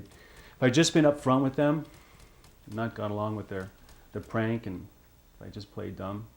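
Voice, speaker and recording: A man playing a guilt-ridden, hesitant young man in a reflective monologue, halting and repeating words. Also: on the low side, vocal fry, darkish tone.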